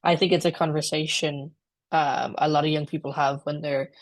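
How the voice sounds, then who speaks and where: A woman's voice: deep voice, fairly even intonation, moderate pace, no echo. A woman moderating an online discussion in a conversational, informal way as host. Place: online video call.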